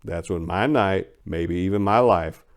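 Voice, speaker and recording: A man narrating a story as a dramatic reading, theatrical and folksy, swinging between hushed delivery and loud emphasis. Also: deep voice, unhurried. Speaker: narrator and channel host.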